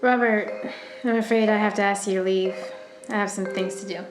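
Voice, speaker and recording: A woman's voice: mid-range voice, fairly even intonation, fairly quick, natural voice. Woman playing a guarded, strained woman in echoey room. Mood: hospitable, guarded. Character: frightened, despairing but kind woman under strain. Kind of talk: audio drama acting. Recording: roomy echo with music underneath.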